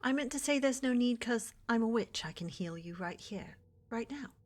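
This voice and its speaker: A woman playing a flirty, scheming witch in a fantasy ASMR roleplay, with a breathy, teasing, coaxing delivery that often drops to whispers. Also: fairly high pitch, expressive, normal speed, close to an everyday voice. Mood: soothing, coaxing. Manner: teasing, coaxing, sugary endearments with theatrical menace. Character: flirty, scheming witch who runs a potion shop. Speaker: voice actor in audio roleplay.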